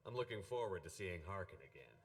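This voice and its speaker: An actor playing a tough, ruthless military commander in a video game, speaking bluntly with controlled hardness. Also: male voice, sits low, expressive, fast, distant mic. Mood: stern.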